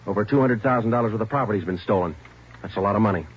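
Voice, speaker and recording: A deadpan police sergeant, acted by a man in an old radio drama. His very low voice moves briskly and stays terse and stern, on a dull, faintly noisy recording. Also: close to an everyday voice. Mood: stern pressure. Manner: deadpan, matter-of-fact. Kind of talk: 1950s radio drama, clipped deadpan narration and dialogue.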